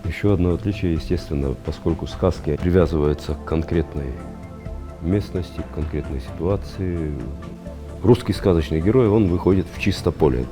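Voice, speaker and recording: A man's voice: low voice, moderate pace, music in the background. A man speaking as an expert in an interview, explaining things in unscripted talk.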